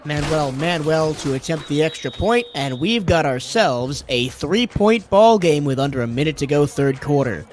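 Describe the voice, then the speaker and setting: Male play-by-play caller, slightly high voice, hoarse from a cold, climbs loud and high on big plays. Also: animated, moderate pace, few pauses, over music. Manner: rises sharply in pitch and volume on big plays. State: hoarse, lower voice after a cold. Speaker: play-by-play commentator. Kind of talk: sports play-by-play commentary.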